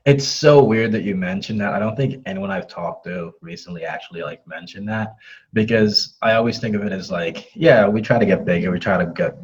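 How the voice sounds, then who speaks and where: A man's voice: lowish voice, brisk, breathy edge, highs cut off. A man in his twenties with an American accent, hosting a casual interview in an easygoing, reflective way. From born in West Africa, raised in the United States. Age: 20s. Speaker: podcast host.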